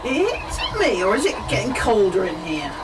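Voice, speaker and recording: Woman playing a brisk lady traveller with leaping pitch. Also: huge pitch swings, loud music behind the voice. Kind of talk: amateur live radio play acting. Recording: distant, noisy community radio studio.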